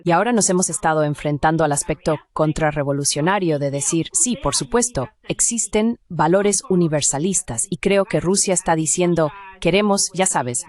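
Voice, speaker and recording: A woman's voice: low voice, a little mellow. A woman speaking as an academic expert, giving explanatory answers in an interview.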